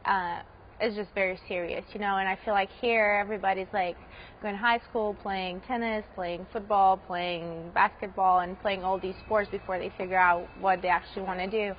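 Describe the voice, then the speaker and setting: A woman's voice: medium pitch, steady tone, moderate pace. Chatty young woman from Romania. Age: young adult. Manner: chatty, many "like" and "you know" fillers. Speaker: college tennis player. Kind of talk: casual interview answers. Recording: slightly dull, faint hiss.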